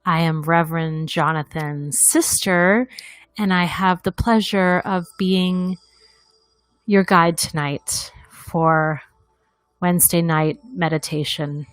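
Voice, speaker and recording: A woman leading a guided meditation in a gentle, deliberate manner, leaving long pauses between phrases. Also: lowish voice, fairly even intonation, no echo.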